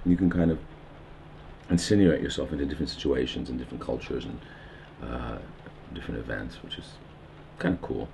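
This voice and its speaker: A middle-aged man with a New York accent talks in a meandering, musing way. His voice sits extremely low and has a gravelly fry, with a faint hiss of noise behind him. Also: steady tone, brisk, pauses often, warm tone. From United States. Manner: meandering, musing with fillers and restarts. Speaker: documentary subject. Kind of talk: reflective interview monologue. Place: houseboat on a river.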